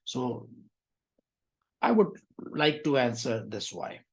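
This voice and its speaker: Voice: medium pitch, big pitch swings, slow pace, husky. An accounting tutor giving an explanatory lecture through a worked exam question, in a didactic way that often checks the listener follows.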